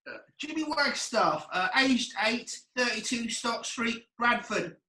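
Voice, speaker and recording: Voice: mid-range voice, lively intonation, takes it slowly, bright, forceful tone. Echoey, hesitant, hard-done-by errand boy acted by an adult. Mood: nervous. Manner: hesitant, deferential. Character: bearded-looking eight-year-old errand boy, hard-done-by and earnest. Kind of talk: comic radio play acting. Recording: roomy, echoing sound.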